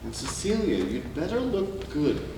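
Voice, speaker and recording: A man's voice: on the high side, big pitch swings. A man playing a pompous, controlling, exasperated husband in a staged reading. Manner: domineering, deliberate. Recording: very echoey room, distant mic, clear noise.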